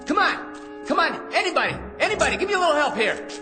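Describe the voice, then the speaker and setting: A man's voice: very high voice, sing-song, fast talker, over loud music. An actor playing a hapless ordinary man in a radio drama, cocky or frantic, stammering and chattering nervously. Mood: flustered, nervous.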